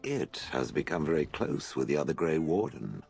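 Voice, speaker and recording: Very deep, gravelly stern warrior. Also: male voice, soft background music, exaggerated voice. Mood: stern disapproval. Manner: deadpan, formal, deliberate. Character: stern, humourless giant warrior, blunt and disapproving. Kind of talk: video game voice acting.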